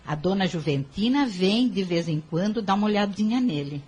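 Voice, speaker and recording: Woman doing a poor hardworking mom in a radio play, husky voice, resigned and devout. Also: mid-range voice, expressive, moderate pace, a little mellow. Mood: tender, resigned. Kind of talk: audio drama acting.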